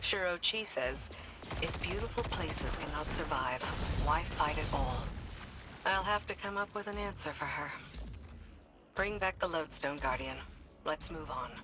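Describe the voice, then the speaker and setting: Wistful, mournful guide over loud ambience. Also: male voice, fairly high pitch, expressive, unhurried, background noise. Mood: mournful resolve. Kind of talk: dramatic video game voice acting. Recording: loud game ambience behind voice.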